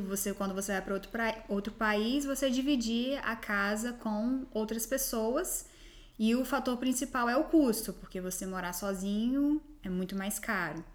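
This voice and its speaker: A woman speaking in casual conversation, relaxed and explanatory. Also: mid-range voice.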